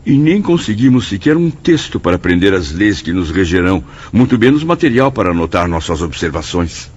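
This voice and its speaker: Earnest Brazilian spirit pupil, very low and expressive, radio drama. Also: male voice, brisk, husky, exaggerated voice. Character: earnest male spirit apprentice, inquiring. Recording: dull audio, faint music.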